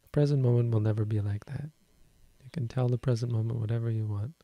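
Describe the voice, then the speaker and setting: A man with a quiet voice giving a calm, slow Buddhist talk to an online audience. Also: medium pitch, dry recording, highs cut off. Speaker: Buddhist teacher. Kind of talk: dharma talk.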